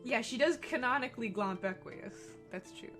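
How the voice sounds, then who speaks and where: A woman with a mostly American accent, gently Texan on some words, reading a game's text aloud on a stream. She talks in a joking, profane way and laughs often. Clear game music plays behind her. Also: on the high side, expressive, normal speed. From Texas, US.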